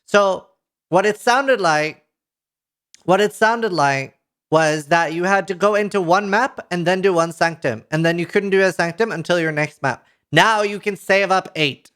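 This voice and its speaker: A man in his thirties with a Norwegian accent reads patch notes aloud to a live chat, reacting with excited outbursts and casual swearing, into a headset mic. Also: high-pitched, a little slow, clear, resonant tone. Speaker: streamer. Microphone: headset mic at a desk.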